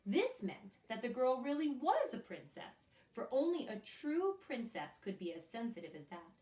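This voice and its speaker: A woman storyteller reads a picture book to small children with a very lively, swooping delivery. She breaks off to put questions straight to her young listeners. The sound is roomy and echoing, a little away from the microphone. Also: fairly high pitch, moderate pace. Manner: expressive, addresses children directly with questions. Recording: echoey room, distant microphone.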